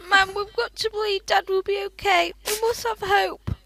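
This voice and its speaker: Woman acting high, bright girl. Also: level tone, normal speed, creaky, no echo, exaggerated voice. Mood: pleading. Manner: earnest, youthful. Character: hopeful, sensitive young girl in wartime Britain. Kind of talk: radio play acting.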